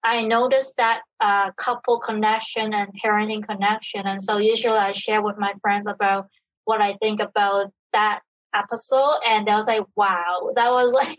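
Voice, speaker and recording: A woman's voice: mid-range voice, steady tone, low-quality recording. Hesitant woman in her thirties. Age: 30s. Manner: halting, many fillers, non-native grammar. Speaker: podcast guest, evangelist. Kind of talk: casual interview chat.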